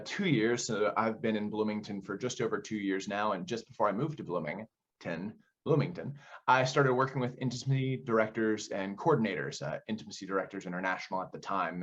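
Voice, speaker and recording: A man with a fairly low voice answers questions as a theater director. He explains in a thoughtful, conversational way and builds his points through repetition. The audio is somewhat dull and lo-fi. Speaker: theater director being interviewed.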